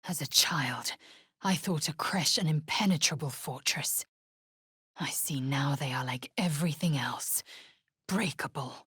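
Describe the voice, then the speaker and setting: Casting: fierce, proud female alien warrior in a fantasy game. Raspy low register, deliberate pace, stern and imperious. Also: a bit bright, dry recording, clean studio sound, heavily acted. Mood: brooding. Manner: clipped, imperious, formal archaic phrasing. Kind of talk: video game character voice acting.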